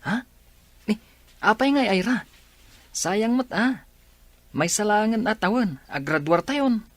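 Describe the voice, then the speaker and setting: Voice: medium pitch, animated, takes it slowly, no room sound. A performer playing a young male classmate who comforts and encourages his friend. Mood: concerned. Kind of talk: radio drama acting.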